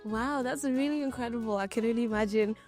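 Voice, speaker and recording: A woman's voice: on the high side, quick pace, darkish tone, soft background music. A woman from Zimbabwe whose first language is Shona, hosting an interview in an encouraging, enthusiastic way. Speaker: interview host. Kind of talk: casual interview.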